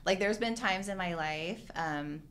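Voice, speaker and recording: A woman's voice: on the low side, measured pace, fairly bright tone, slight background noise. A middle-aged woman from Hawaii, a real estate agent chatting earnestly as a podcast guest, filling her talk with "like" and "you know". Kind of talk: casual interview chat.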